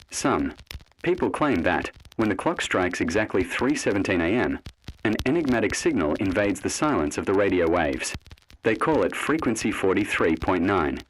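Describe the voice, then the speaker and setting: A man reading scripted horror mystery narration in a measured, ominous, dramatic way. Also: fairly low pitch, slight background noise, rough recording.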